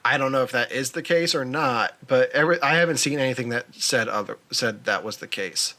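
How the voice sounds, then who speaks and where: A man speaks with a clearly bright voice at a middle pitch. He is a podcast co-host, chatting casually and conversationally about films. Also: a little fast. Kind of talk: casual film discussion.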